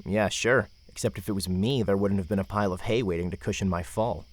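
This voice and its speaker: A man's voice: sits low, quick pace. A man acting a smug, witty young brother with a sarcastic, teasing manner in an audio drama. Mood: dry teasing.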